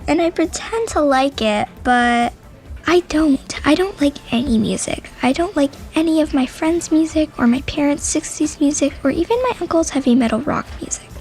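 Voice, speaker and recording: A woman's voice: high voice, moderate pace, darkish tone, faint music behind. A woman voicing a moody teenage girl who is a goth outsider, talking in a blunt, earnest, defensive way. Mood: sulky. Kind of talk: audio drama acting.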